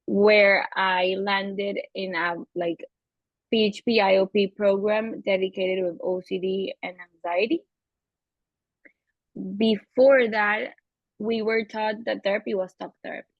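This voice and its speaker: A woman's voice: medium pitch, steady tone, measured pace, no echo. Brief: young Venezuelan woman, native Spanish, searches for words, thoughtful, dry and slightly muffled recording. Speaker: guest therapist. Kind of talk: casual interview. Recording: slightly dull lo-fi audio.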